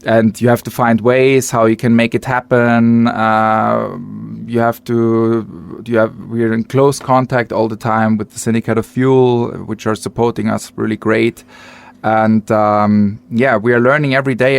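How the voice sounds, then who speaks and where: A man who is not a native speaker, chatting as an artist guest in an interview, halting and rephrasing as he explains. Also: mid-range voice, flat delivery, gravelly, clear, resonant tone. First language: not English. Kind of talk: casual conversational interview.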